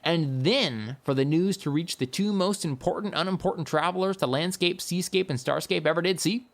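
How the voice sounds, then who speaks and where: A woman's voice: on the low side, moderate pace, pitched above the performer's own voice, lightly acted. A woman voicing a carefree, theatrical uncle who travels for adventure and talks in a playful, wordy, mock-grand way. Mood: grandly reassuring.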